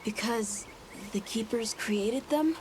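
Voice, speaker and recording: Earnest, high-voiced young boy. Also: takes it slowly. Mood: anxious, pleading. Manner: earnest, hesitant. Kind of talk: video game cutscene acting. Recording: noisy ambience behind the voice.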